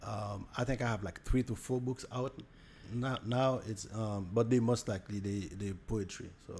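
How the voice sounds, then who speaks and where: Low-voiced young Haitian man, hesitant. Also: steady tone, normal speed, a little mellow, a little hiss or hum. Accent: Haitian Creole. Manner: hesitant, many fillers and restarts. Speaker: podcast guest. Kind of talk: casual conversation.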